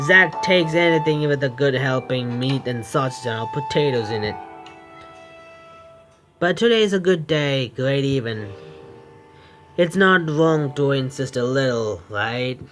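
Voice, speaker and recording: A man narrates a visual novel as he plays it. His voice is medium in pitch, and he reads informally, tripping over words and correcting himself. Game music is clearly audible behind him. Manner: stumbling, informal reader who corrects himself. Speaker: gaming video host. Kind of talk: gameplay read-aloud narration with asides. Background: game background music.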